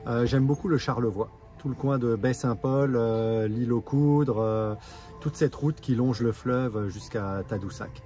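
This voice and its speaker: A man from Alsace answering interview questions thoughtfully, pausing with hesitations. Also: medium pitch, far from the mic, over music. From Alsace, France. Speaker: interviewee, park operations director.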